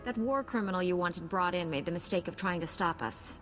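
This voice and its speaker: An actress playing a confident, brisk Jedi knight heroine, direct and resolute, in a video game cutscene. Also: female voice, fairly low pitch, a bit bright, soft background music, natural voice. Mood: matter-of-fact.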